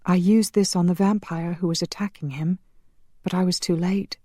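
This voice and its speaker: A woman narrator voicing a tearful, guilt-stricken vampire woman in an audiobook, soft and despairing. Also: fairly low pitch, steady tone, normal speed, dry recording, clean studio sound, pitched above the performer's own voice, natural voice. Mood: guilty, hushed.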